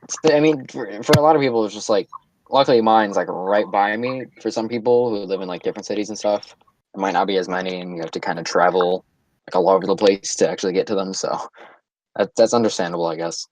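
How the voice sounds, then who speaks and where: Laid-back low-voiced man on voice chat. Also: fast talker, low-quality recording. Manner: laid-back, matter-of-fact, with filler "uh" and "like". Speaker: voice call regular and tournament player. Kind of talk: casual chat.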